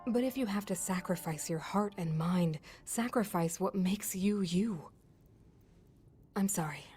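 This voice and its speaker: A measured, scholarly sage. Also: female voice, lowish voice, darkish tone, slightly rough. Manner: measured, explanatory, thoughtful. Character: learned older sister, scholarly non-human sage. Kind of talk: game cutscene voice acting.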